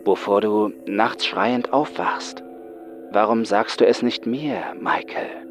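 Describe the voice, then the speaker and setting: A man puts on a patient, soft-spoken psychiatrist for a horror story. He is measured and coaxing, very slow in his delivery, with music behind him. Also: on the low side, fairly even intonation, pitched above the performer's own voice. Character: calm, patient male psychiatrist, older than his patient. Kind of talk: horror audiobook acting.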